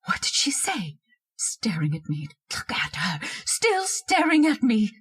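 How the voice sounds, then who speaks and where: An actress playing a fearful, domineering, nagging wife in a radio horror drama, agitated and demanding. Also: female voice, a little high, wildly swooping pitch, measured pace, a bit bright, breathy edge, heavily acted. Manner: demanding, agitated, repeating questions. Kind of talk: old-time radio horror drama acting.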